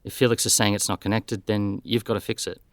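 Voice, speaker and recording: An actor playing a brisk, matter-of-fact male detective in a scripted audio drama, businesslike and plain-spoken. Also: on the low side, flat delivery, no room sound, natural voice. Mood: firm.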